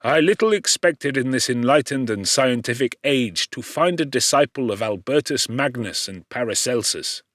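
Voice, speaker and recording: Man acting a scornful, gruff professor, emphatic and pompous. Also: medium pitch, lively intonation, moderate pace, few pauses, fairly bright tone, no room sound, clean studio sound. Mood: heated scolding. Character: gruff, squat, scornful male science professor.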